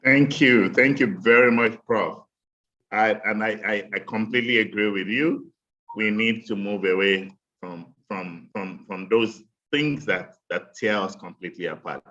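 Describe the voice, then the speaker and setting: A man moderates briskly and politely, keeping everyone to time. His low, bright voice comes through dull lo-fi call audio.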